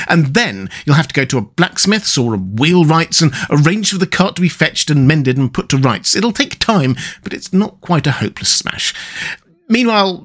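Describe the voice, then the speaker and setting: A man voicing a firm, exasperated male water rat with a brisk, no-nonsense way of talking. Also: a little high, fairly bright tone, voice pushed up.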